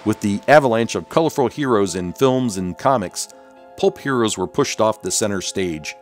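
Low-voiced man narrating a documentary. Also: lively intonation, moderate pace, soft background music. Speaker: video host, narrator.

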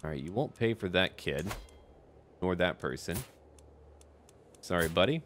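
A man's voice: deep voice, lively intonation, a little slow, noisy background. A man hosting a casual roleplay gaming video, commenting in a chatty, offhand way as he addresses the game's characters.

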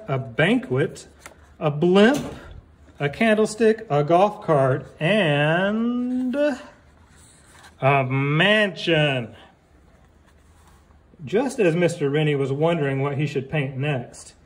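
A man reading a picture book aloud like a teacher, deliberately, with casual asides and questions for young listeners. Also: sits high.

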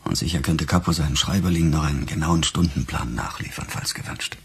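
An actor playing a sarcastic, embittered police detective in a radio crime drama, defensive and emphatic, with a husky voice. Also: male voice, rumbling low, fairly quick, slight background noise.

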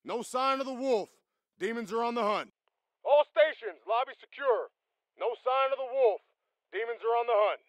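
A battle-hardened American Marine squad leader in a military shooter game. His voice sits high for a man and is recorded close and dry. He speaks in clipped, salty commands with total confidence. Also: lively intonation, normal speed, no echo. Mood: commanding. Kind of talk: video game combat dialogue.

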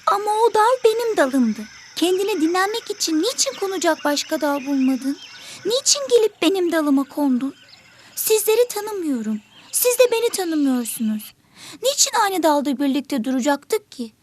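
A woman's voice: very high voice, sing-song, moderate pace, a little mellow. A woman voicing a small, selfish, stubborn bird pupil in an educational cartoon, whiny and argumentative. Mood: defiant.

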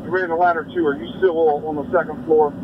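A man commanding a fire scene speaks in short, clipped radio phrases. His voice sits slightly high and moves quickly. The sound is dull, caught on a dashcam with engine noise behind it. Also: level tone. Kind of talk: terse radio command traffic. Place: outdoor night fire scene, recorded from a vehicle dashcam. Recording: muffled recording with loud vehicle engine noise.

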